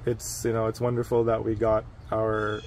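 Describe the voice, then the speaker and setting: A man being interviewed outdoors, answering in an earnest, reflective way with frequent pauses and fillers. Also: on the low side, monotone, slight background noise. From United States. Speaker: interviewee, biomedical engineer.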